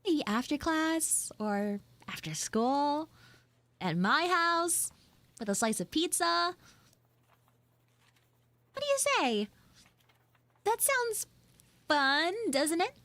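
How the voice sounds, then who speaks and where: A woman's voice: high voice, sing-song, slow, long pauses, fairly bright tone, no room sound, voice pushed up, full character voice. A woman voicing a flustered, shyly smitten tsundere schoolgirl in a live audio roleplay, hesitant and coyly defensive. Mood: shy, hopeful.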